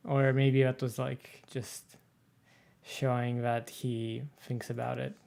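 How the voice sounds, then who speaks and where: Very low, gravelly-voiced woman. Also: little pitch movement, dark tone. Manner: slow, thoughtful commentary. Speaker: game player. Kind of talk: casual chat.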